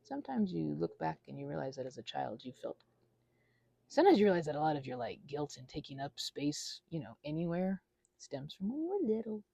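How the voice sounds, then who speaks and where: Woman, casual solo rambler, wandering and self-deprecating, swooping pitch, recorded close and dry. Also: sing-song, a little fast, gravelly, dark tone, breathy edge, no echo, muffled recording. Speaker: audio creator rambling to listeners.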